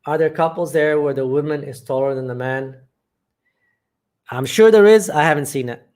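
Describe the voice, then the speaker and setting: A man's voice: on the high side, normal speed, a little mellow. A man from England hosting a live chat, reading viewer comments aloud and answering them with blunt, matter-of-fact opinions. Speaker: channel host.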